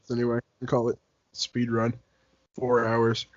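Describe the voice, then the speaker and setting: A man's voice: mid-range voice, completely flat delivery, moderate pace, dry recording. A young man in casual banter with friends, blunt and complaining. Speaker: co-host, heckling listener. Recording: dull lo-fi voice chat audio.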